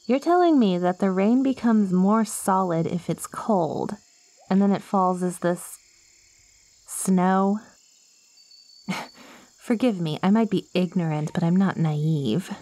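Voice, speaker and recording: A woman's voice: medium pitch, mellow, dry recording. A woman playing a lonely, possessive plant-girl monster in an ASMR roleplay, coaxing and teasing the listener in a theatrical way. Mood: playful, teasing, then sweet, coaxing. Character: lonely, possessive yandere plant girl, ancient yet girlish.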